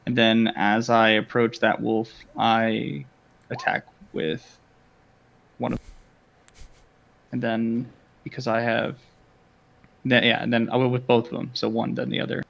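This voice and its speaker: A man's voice: medium pitch, level tone, a little slow, vocal fry, long pauses, a bit bright, highs cut off, slight background noise. A man chatting casually as a player in a tabletop role-playing game. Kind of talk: casual chat.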